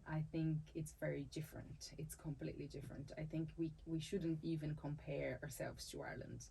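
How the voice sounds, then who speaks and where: A woman's voice: sits low, level tone, a little fast, gravelly, warm tone, a little hiss or hum, low-quality recording. A woman from Brazil with a Brazilian accent and Portuguese as her first language, a dancer and capoeira teacher talking in an interview, thoughtful, often restarting her phrases and laughing easily. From Salvador, Bahia, Brazil. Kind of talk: conversational interview.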